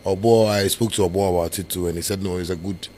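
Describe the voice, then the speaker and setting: A man with a Ghanaian accent and a husky voice, a musician telling his story in a casual interview, rambling and switching into Twi and Pidgin. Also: low-pitched, steady tone, fast, darkish tone, a little hiss or hum. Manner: rambling, filler-heavy storytelling. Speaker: musician guest.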